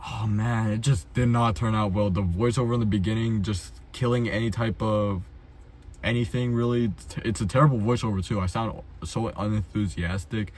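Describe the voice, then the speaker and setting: A man's voice: lowish voice, fairly even intonation, moderate pace, darkish tone. A young adult man chatting casually and unscripted as a video host, blunt, self-deprecating and full of slang and swearing. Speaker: amateur filmmaker, video host.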